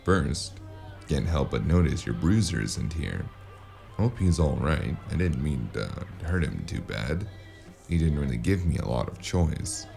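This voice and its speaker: Man acting a very deep gravelly noir investigator. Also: sing-song, unhurried, darkish tone, soft background music, heavily acted. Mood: dry, wary. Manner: dry, hard-boiled, laconic. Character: gruff, wary noir investigator narrating his own case. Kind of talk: noir audio drama narration and dialogue.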